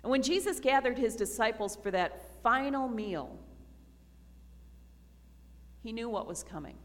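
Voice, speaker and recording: A woman pastor giving a slow, earnest communion sermon to her congregation in a church. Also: fairly high pitch, animated. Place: church sanctuary. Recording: reverberant church hall.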